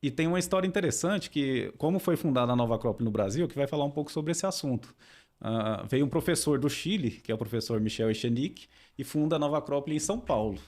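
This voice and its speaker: A man with a mid-range voice talks calmly as a podcast guest. He explains philosophy in an unhurried teaching way and often tags his sentences with a small question. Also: mid-range voice. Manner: calm explaining, frequent tag questions. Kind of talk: podcast interview.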